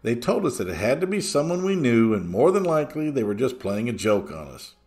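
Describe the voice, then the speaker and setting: Male narrator, mid-range voice, animated intonation, scripted first-person storytelling. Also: medium pitch, brisk. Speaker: narrator reading a listener's true ghost story.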